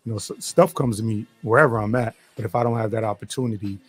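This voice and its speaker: A man's voice: fairly low pitch, quick pace, slightly rough, soft background music. A man hosting an interview, asking long, rambling questions with many restarts. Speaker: podcast host.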